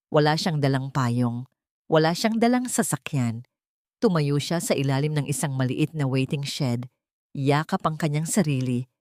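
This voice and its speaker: A Filipino-accented woman narrates a scripted drama. Her voice sits a little low and she tells the story with deliberate emotion. The sound is dry, with no room echo. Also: darkish tone.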